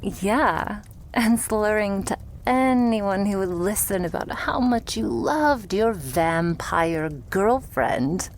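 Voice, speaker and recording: Slow, breathy vampire girlfriend, played by a woman. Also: mid-range voice, expressive, a little hiss or hum. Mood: teasing. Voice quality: soft, breathy, often whispered. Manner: slow, tender, coaxing, lightly teasing. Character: loving, doting, stubborn female vampire girlfriend. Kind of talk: ASMR roleplay, intimate monologue to the listener.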